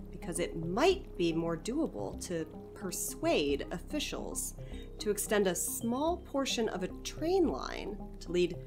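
A woman playing a chatty, enthusiastic community center director in an audio drama, talking expansively and persuasively. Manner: expansive, persuasive, eager to pitch ideas.

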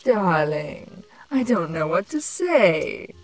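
A woman's voice: lowish voice, very animated, slow, faint music behind, exaggerated voice, poor audio. A woman voicing a doting, sultry female changeling queen who coos coyly at her beloved in a fan story reading. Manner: cooing, coy, affectionate. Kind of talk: dramatic fan fiction reading.

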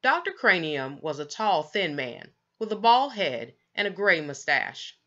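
A woman reads a children's story aloud, leaning deliberately on key words. Her voice is fairly low, bright and lively in its rise and fall. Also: dull audio. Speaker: children's book narrator. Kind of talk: expressive read-aloud storytelling.

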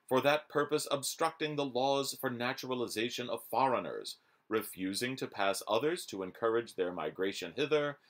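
A man in his forties reading a historical document aloud in a formal, measured, declamatory manner. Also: mid-range voice, fairly bright tone. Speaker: reader. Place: small room at home, indoors.

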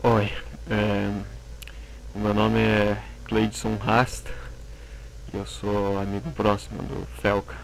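A man playing a worried friend giving halting testimony in an analog horror story, his voice gravelly. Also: on the low side, flat delivery, slight background noise, low-quality recording. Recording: music behind the voice.

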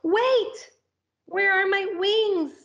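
A woman voicing an impatient, excitable, whiny caterpillar in a storybook, pestering and exclaiming with drawn-out cries. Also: extremely high pitch, vocal fry, lo-fi sound, slight background noise, pitched above the performer's own voice, heavily acted. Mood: frantic.

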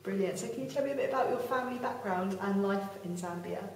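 Woman interviewer, brisk and encouraging, distant from the mic with long room reverb. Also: mid-range voice, a little mellow, slight background noise. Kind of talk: casual interview questions. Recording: echoey room from far off.

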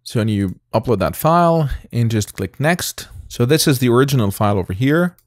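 A man in his thirties with a non-native English accent, casually demoing software in a relaxed, chatty way with frequent fillers, recorded at home on a close mic. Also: medium pitch, fairly quick, no echo. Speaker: tech reviewer. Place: home studio room with colored lights.

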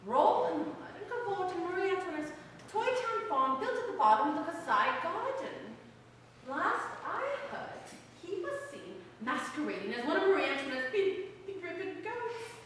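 Frivolous noblewoman, actress voicing her, very high, lively, gushing and affected. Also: moderate pace, a little hiss or hum, heavily acted. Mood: teasing. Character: amused frivolous aristocratic noblewoman. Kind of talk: audio drama acting. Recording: echoey hall, voice from far off.

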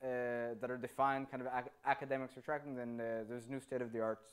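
A man's voice: medium pitch, fairly quick, clean tone. Hebrew-speaking man on a handheld mic. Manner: animated, gestures with free hand, tags sentences with "right?". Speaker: conference speaker. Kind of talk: answering audience questions. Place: meeting room with audience. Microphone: handheld microphone.

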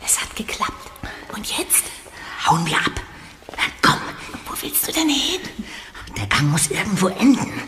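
An actor playing a nervous teenage boy detective who talks in hushed, urgent whispers. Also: high voice, very animated, a little slow, long pauses. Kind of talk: radio play acting.

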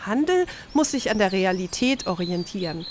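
Measured female narrator, noisy background. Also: medium pitch, animated. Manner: measured, matter-of-fact. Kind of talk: scripted explainer narration.

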